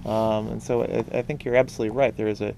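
A man's voice: fairly low pitch, level tone, quick pace, vocal fry, warm tone, a little hiss or hum. A middle-aged American man hosting a political talk show, speaking in an earnest, opinionated way. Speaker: talk show host and activist. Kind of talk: political discussion on a call-in TV show. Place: small indoor TV studio.

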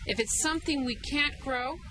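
Middle-aged woman, higher voice, friendly sales talk outdoors, clear background noise. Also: normal speed. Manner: friendly, plain-spoken, explaining products. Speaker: farm owner and soap maker. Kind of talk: casual product talk to camera. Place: outdoor market tent with banner behind.

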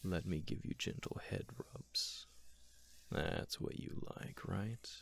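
Slow, whispering man as a doting male roommate. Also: sits low, heavily acted. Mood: tender, teasing. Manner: slow, soothing, breathy, doting. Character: affectionate, teasing male roommate, doting and gently dominant. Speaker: ASMR roleplay performer. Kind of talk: ASMR roleplay, whispered and softly spoken.

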